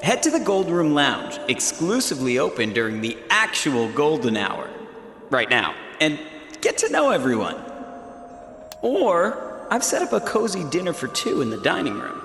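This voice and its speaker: Flamboyant, wildly swooping show host. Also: male voice, on the high side, huge pitch swings, moderate pace, a bit bright, full character voice. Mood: showy. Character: flamboyant, showy male reality dating show host. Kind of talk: video game character acting. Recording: roomy long reverb, music underneath.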